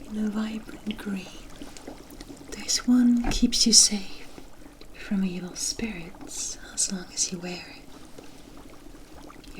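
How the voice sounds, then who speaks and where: A woman voicing a mysterious, wry forest witch in a hushed, deliberate ASMR fantasy roleplay, with cryptic storybook phrasing. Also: mid-range voice. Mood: solemn, mysterious. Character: mysterious forest witch, wry, knowing, offering protection for favors.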